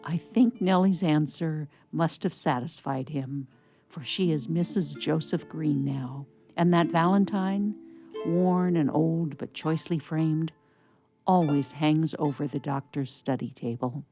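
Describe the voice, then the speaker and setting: A woman's voice: deep voice, measured pace, over music. A woman narrating a scripted story for radio theatre, reading as the storyteller. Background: soft plucked harp underscore.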